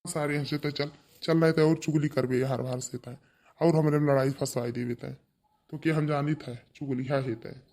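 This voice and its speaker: A man's voice: fairly high pitch, fairly even intonation, moderate pace, darkish tone, close to an everyday voice. A man voicing a nagging, exasperated villager in a cartoon family comedy, with an Awadhi accent and a rustic, chiding manner.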